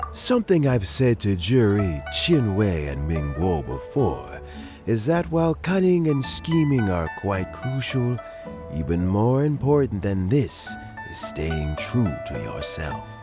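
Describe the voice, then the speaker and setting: An older man playing a wise, measured elder adviser in a video game, deliberate, sage and expressive. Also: lowish voice, over music, full character voice. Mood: sage, reflective.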